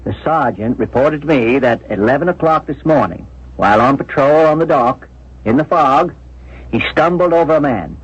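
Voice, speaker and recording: An actor playing a grave, considerate police inspector in a 1970s radio drama, speaking in a measured, deliberate, official way. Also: male voice, mid-range voice, breathy edge, close to an everyday voice. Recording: muffled vintage radio broadcast.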